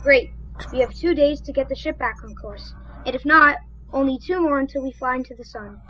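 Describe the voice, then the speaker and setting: A high, bright young crew voice performed by a woman, with faint noise behind it. The character is an eager, quick-thinking youngster in a space radio drama. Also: highs cut off. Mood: sarcastic worry. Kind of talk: sci-fi radio play acting.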